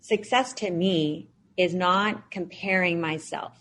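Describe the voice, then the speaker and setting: A woman in her thirties speaks as a podcast guest, earnest and reflective, often pausing on fillers. Her voice sits a little low for a woman. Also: fairly bright tone. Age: 30s. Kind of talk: casual interview answers.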